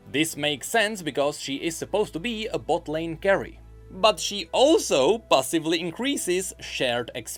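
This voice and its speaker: A man's voice: a little high, a bit bright. A man hosting a scripted gaming news show, narrating with wry, dryly sarcastic asides. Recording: music behind the voice. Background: background music.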